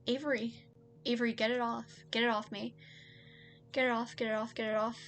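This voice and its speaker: A woman voicing a nervous, lovesick man in an audio story, with a halting, stammering, anxious way of talking. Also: fairly high pitch, little pitch movement, fairly bright tone, soft background music. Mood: panicked.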